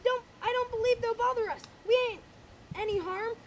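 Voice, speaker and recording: Woman playing a hushed nervous young boy, very high and soft, background noise. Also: steady tone, normal speed. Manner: hushed, whispering.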